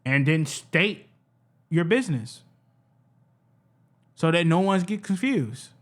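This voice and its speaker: A man with a high-pitched voice giving heated, profane reaction commentary, repeating himself and stumbling over his words. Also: expressive, slow pace. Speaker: reaction streamer.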